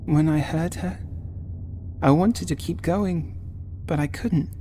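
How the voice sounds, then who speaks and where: A man's voice: fairly high pitch, takes it slowly, dark tone, slight background noise, voice pushed up. A man voicing a grieving, desperate young woman who believes in spirits, pleading and breathless, in a horror audiobook. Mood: tearful, desperate. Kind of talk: horror audiobook character dialogue.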